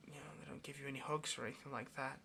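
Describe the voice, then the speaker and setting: A man's voice: medium pitch, fairly even intonation, racing, creaky, sharp tone, a little hiss or hum. A man playing a lonely, clingy yandere demon in an intimate audio roleplay, speaking tenderly and hesitantly straight to the listener with obsessive devotion. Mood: devoted, yearning.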